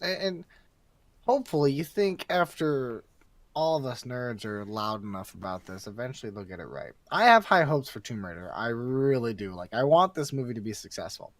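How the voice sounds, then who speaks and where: A man's voice: medium pitch, sing-song, normal speed, no room sound. A man talking as a podcast guest in casual, opinionated, emphatic chat. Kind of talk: casual chat about games and movies.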